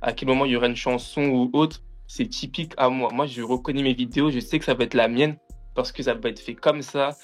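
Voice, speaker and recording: A young man from France talks as a guest on a podcast, in a mid-pitched voice. His talk is loose and slangy, peppered with little check-in phrases. Faint music sits behind him. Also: fairly even intonation, brisk. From France, of Antillean background. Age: young adult. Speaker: podcast guest, music media content creator. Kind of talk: casual chat, slangy.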